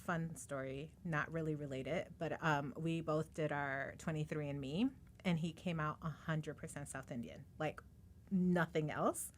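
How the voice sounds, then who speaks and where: A woman with a notably low-pitched voice hosts a casual podcast conversation. She is relaxed and chatty, sharing her own stories between listening. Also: measured pace, a little hiss or hum. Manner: relaxed, laughs easily, chatty. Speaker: podcast host. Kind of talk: casual interview chat.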